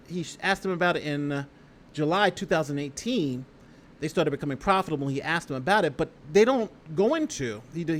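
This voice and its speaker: A man's voice: a little high, slight background noise. An American man hosting a casual legal commentary stream, reading aloud and talking through it conversationally with rhetorical asides. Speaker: YouTube host and lawyer reading a lawsuit.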